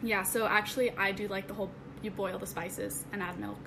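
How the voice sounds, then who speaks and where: A woman's voice: mid-range voice, steady tone, a little fast. Hesitant teenage girl podcast guest. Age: teens. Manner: friendly, hesitant, many ums. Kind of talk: casual chat. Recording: clear background noise.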